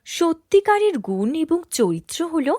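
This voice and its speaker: A woman's voice: extremely high pitch, very animated, takes it slowly, mellow, no echo, studio quality. A woman narrating a scripted fairy tale for children as a storyteller.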